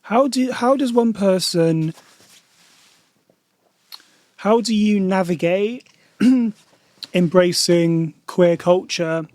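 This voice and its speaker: A woman's voice: mid-range voice, drawn-out words, long pauses, a little mellow, no echo. A woman hosting a podcast, asking questions in a casual, chatty interview style. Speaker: podcast host.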